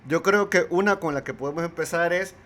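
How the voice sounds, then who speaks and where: A man's voice: on the high side, brisk, a bit bright. A man with a Salvadoran accent hosting a casual livestream interview, hesitant and repeating words as he forms his questions.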